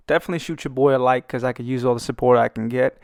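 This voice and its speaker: A man's voice: medium pitch, fairly even intonation, rapid-fire, creaky, a little mellow, dry recording, clean studio sound. A young man with a Brooklyn accent giving swaggering, profane, joking commentary while he draws. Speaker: artist and channel host. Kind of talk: rambling comedic commentary over a speedpaint.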